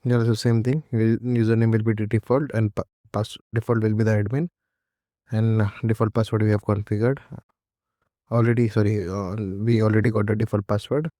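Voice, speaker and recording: A man giving an unscripted, step-by-step technical setup walkthrough in a halting, repetitive instructional way. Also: on the low side, little pitch movement, warm tone, dry recording, clean studio sound. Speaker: technical tutorial presenter.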